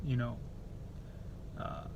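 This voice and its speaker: A man's voice: extremely slow, darkish tone, lo-fi sound, slight background noise. A man from El Salvador talking straight to camera in an opinionated vlog, blunt and profane, often restarting his sentences. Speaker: YouTuber.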